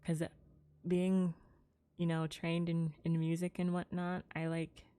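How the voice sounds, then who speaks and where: A woman's voice: on the low side, completely flat delivery, measured pace, warm tone, smooth. A woman chatting casually on a livestream while gaming, with a playful, giggly, self-deprecating manner. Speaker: video game livestreamer.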